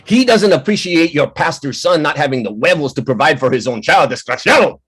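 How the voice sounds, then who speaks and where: A man's voice: on the high side, lively intonation, fairly quick, husky. A man playing a feisty, defiant small shopkeeper who curses, in a dramatic script read over a video call. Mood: angry, defiant. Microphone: home microphone on a video call.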